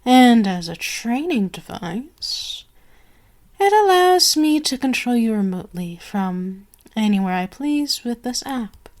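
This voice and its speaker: A woman's voice: on the high side, sing-song, slow pace. A woman playing an imperious, sadistic vampire queen in an ASMR roleplay, formal and commanding, seductive and possessive as she savors each phrase. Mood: gloating, menacing.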